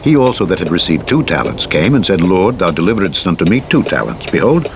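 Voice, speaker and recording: A man giving a solemn, measured, formal and dramatic reading of scripture in archaic English, as a Bible narrator. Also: low voice, sing-song. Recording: played over clearly audible vehicle engine noise. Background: truck engine and road noise from a driving game.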